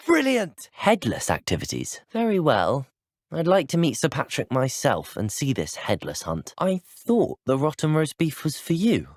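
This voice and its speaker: An actor voicing a polite, curious teenage student wizard in a video game, with a British accent and a courteous, earnest, well-spoken manner. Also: male voice, fairly high pitch, a bit bright, no room sound, studio quality, exaggerated voice. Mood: excited, delighted. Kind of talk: video game character voice lines.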